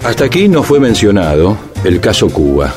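A man hosting a radio interview, asking calm, probing questions. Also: on the low side, moderate pace, loud music behind the voice.

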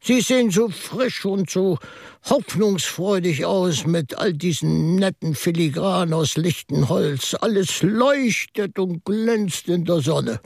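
An actor playing a gentle, musing priest in a radio drama, speaking in a wistful, reflective, deliberate way. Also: male voice, sits high, creaky, no room sound, exaggerated voice. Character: gentle, musing priest who mourns finished buildings.

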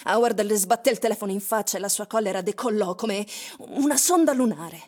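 A woman giving dramatic, literary radio narration with deliberate, suspenseful phrasing. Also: medium pitch, darkish tone. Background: music.

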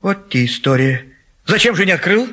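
A hot-tempered meddling matchmaker in a theatrical comedy. His high, husky voice swoops widely as he wheedles and bullies, insistent and forceful. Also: big pitch swings, normal speed, exaggerated voice. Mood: impatient prodding. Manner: insistent, swings between coaxing and scolding. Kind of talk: theatrical classic stage comedy acting.

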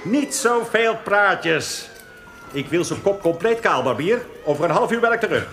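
An actor playing a menacing, gloating interrogator in a radio drama, commanding and taunting. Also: male voice, high voice, expressive, normal speed, a bit bright. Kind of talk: Dutch radio drama acting. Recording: echoey room with background noise.

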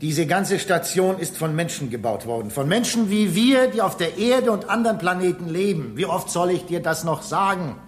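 A man's voice: high-pitched, normal speed, few pauses, natural voice. An actor playing a station engineer in a German radio drama, earnest and insistent as he argues his case. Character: human station engineer, male, reasoning patiently with a robot.

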